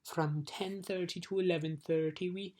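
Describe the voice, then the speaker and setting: A woman reading aloud, voicing lazy, sleepy little creatures who spend the day doing nothing, in a slow, drawling way. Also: on the low side, fairly even intonation, darkish tone, lightly acted. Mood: drowsy.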